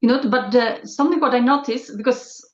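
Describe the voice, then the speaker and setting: A woman speaking English as a second language in a group call, halting and reflective, searching for words. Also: fairly high pitch. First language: not English. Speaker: session participant. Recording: echoey lo-fi call audio.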